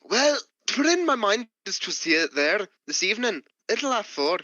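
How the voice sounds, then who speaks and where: A man's voice: sits high, big pitch swings, moderate pace, bright, forceful tone, dry recording, dull audio, full character voice. A man playing a plain-spoken, folksy hired farmhand in rustic dialect in a radio play. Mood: folksy, unhurried.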